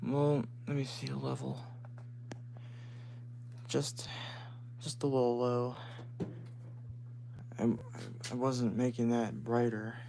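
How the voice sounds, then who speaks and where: A man with a deep voice, chatting casually and haltingly on a live stream from home, with frequent restarts. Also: flat delivery, creaky, warm tone. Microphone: phone. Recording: noisy phone stream with hum.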